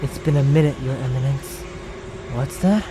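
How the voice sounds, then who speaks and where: A woman playing a cocky, commanding retired judge of the underworld in an animated drama, switching between solemn and brashly sarcastic. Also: extremely low pitch, moderate pace, noisy background. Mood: sardonic, defiant. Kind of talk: dramatic animated-story voice acting. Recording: music behind the voice.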